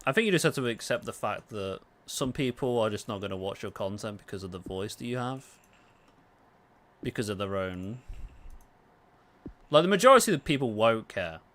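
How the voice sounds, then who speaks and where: A man's voice: fairly low pitch, big pitch swings, moderate pace, long pauses, a bit bright, a little hiss or hum. A man with a southern accent chatting casually and self-deprecatingly as he livestreams a game. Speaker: game streamer and YouTuber. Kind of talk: casual livestream chat while gaming.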